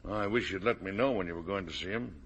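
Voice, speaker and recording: A man's voice: sits low, fast talker, husky. An actor with an American accent playing a genial, blustering older businessman and father in a 1950 radio drama. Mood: earnest.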